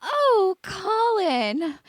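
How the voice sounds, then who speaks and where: A woman's voice: very high voice, sing-song, very slow, no room sound, voice pushed up, full character voice. A woman voicing a self-pitying, melodramatic teenage girl ghost in a storybook reading, theatrical and sulky. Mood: dreamy.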